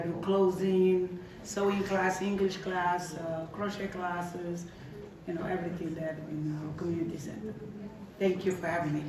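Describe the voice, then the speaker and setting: A woman deputy mayor introducing herself and earnestly describing her community center's work. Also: on the low side. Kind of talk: self-introduction. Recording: echoey room, distant mic, background noise.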